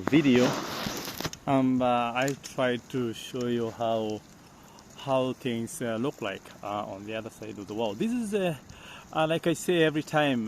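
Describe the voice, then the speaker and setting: A man from Kenya with a Kenyan accent, talking casually as a vlogger in a halting, repetitive way. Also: mid-range voice, a little hiss or hum. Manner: halting, repeats phrases. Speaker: vlogger, host. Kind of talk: casual outdoor vlog talk. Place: outdoors on a bicycle along village paths. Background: loud outdoor ambience.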